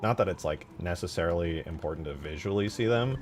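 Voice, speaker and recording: A man's voice: low-pitched, animated, fast talker, a little hiss or hum. A man streaming his game modding work, talking informally and thinking aloud. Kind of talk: casual livestream chat.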